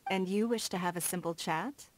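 An actress playing a stately, shrewd noblewoman in a video game, formal, measured and self-assured, with prominent S sounds. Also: on the low side, natural voice. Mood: composed, gracious. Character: poised, stately noblewoman and shrewd business magnate. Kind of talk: video game character dialogue. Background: background music.

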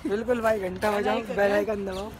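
A man's voice: sits high, level tone, a little fast. A young man vlogging at an outdoor stadium track with his phone, chatting casually and upbeat to his viewers. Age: young adult. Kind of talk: casual vlog commentary. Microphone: phone camera at arm's length. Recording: outdoor phone audio with crowd noise.

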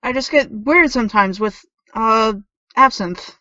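A woman's voice: on the high side, a little slow, bright, forceful tone, no echo, dull audio. A woman playing a flippant, evasive teenage tech girl in an audio drama, with a glib, snarky delivery. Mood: evasive, awkward.

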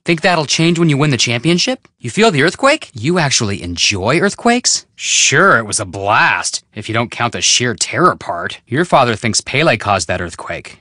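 A man's voice: a little high, bright, forceful tone, dry recording, studio quality. A man playing an upbeat, curious young American amateur detective in a video game, breezy, teasing and casual.

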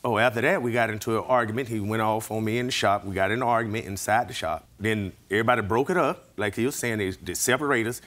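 A man in his thirties testifying in a courtroom, rambling and halting as he answers deferentially with "yes, ma'am.". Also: lowish voice, fairly bright tone. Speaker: defendant. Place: television courtroom set. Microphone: lapel mic.

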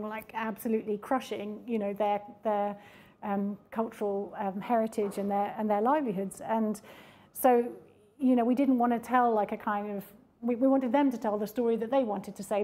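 Mellow woman in a panel talk. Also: mid-range voice. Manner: reflective, with many fillers and restarts. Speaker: project presenter at a panel talk. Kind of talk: public Q&A discussion. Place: mid-sized indoor event room with audience.

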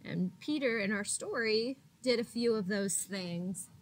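A woman in her thirties, a teacher reading a picture book aloud to young children in a deliberate, expressive way with drawn-out words. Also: mid-range voice, slight background noise. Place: small indoor classroom. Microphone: laptop or webcam at arm's length.

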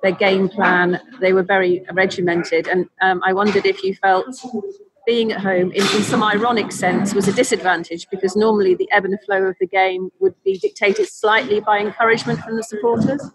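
A woman with a mid-range voice asks a long question at a press conference. She is tentative and careful, and she restarts her phrases as she looks for the right words. A crowd can be heard clearly behind her. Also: medium pitch, moderate pace, poor audio. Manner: tentative, thoughtful, searching for words. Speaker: reporter.

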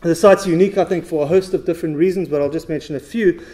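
A middle-aged man presents research at a podium in a big, dark auditorium. His voice is mellow and sits a little high. He talks in a measured, lecturing way and fills his pauses with uh. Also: a little hiss or hum. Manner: measured lecturing with frequent uh fillers. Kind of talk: conference presentation. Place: stage of a large dark auditorium.

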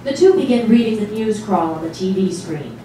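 Woman, dramatic narration, stage reading, heavy echo, clear background noise. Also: mid-range voice, lively intonation, brisk, mellow. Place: small stage before a seated audience. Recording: distant mic, very echoey hall, noisy.

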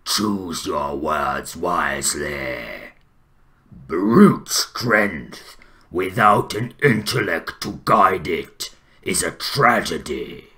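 A man voicing a brooding, eloquent, bitter troll king for fantasy game lines, gravelly and theatrical. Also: expressive, bright, forceful tone, exaggerated voice. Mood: menacing, commanding. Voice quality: deep, gravelly. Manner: slow, theatrical, grandiloquent.

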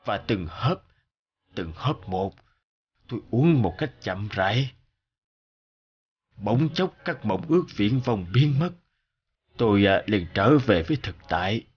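A man narrating the words of a reflective older businessman, a war veteran recalling hardship in a measured, reminiscing way. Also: lowish voice, expressive, breathy edge, highs cut off, exaggerated voice.

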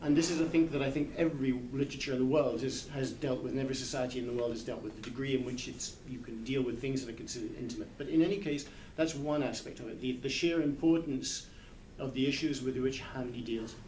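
A man's voice: medium pitch, fast talker, a little mellow. Older man in an echoey room, thoughtful. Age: 60s. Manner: thoughtful, hesitant, searching for words. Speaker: literary translator. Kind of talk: informal discussion at a book club. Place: large indoor hall, small group seated in circle. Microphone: camera a few metres away. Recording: echoey, distant camera mic, background noise.

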